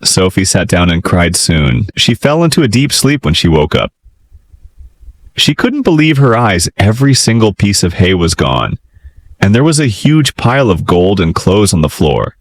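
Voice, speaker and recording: Animated male fairytale storyteller, lowish voice. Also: moderate pace, no room sound. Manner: expressive, theatrical. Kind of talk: dramatic children's fairytale narration.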